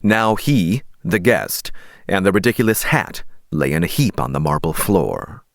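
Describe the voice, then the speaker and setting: Male storyteller for fantasy fiction: lowish pitch, close dry sound, scripted literary narration. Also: animated, a little slow, creaky, dry recording. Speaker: audiobook narrator.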